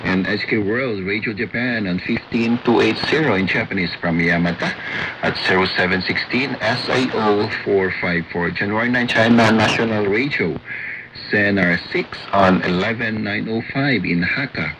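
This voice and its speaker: A man's voice: fairly low pitch, moderate pace. A man with a Filipino accent reading a scripted radio report in a methodical, item-by-item way. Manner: methodical, enumerating. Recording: noisy shortwave radio reception.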